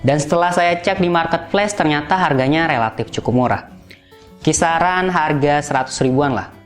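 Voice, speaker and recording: A man narrates a casual gadget review. His voice sits at an average pitch. Music plays behind him as he explains things in everyday, conversational wording. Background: background music.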